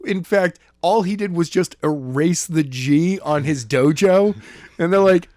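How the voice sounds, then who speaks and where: A man's voice: a little high, unhurried, a little mellow, dry recording, studio quality. A man co-hosting a podcast, casually discussing a story with sarcastic remarks and some swearing. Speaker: podcast co-host. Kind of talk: casual discussion of a novel chapter.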